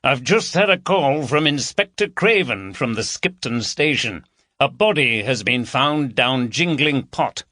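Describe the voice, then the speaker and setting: A man's voice: medium pitch, lively intonation, a little slow, bright, forceful tone, no echo, studio quality. A man voicing a jovial, teasing middle-aged Yorkshire police chief with a broad, bantering manner. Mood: hearty. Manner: hearty, bantering, broad dialect. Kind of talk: audiobook character voice.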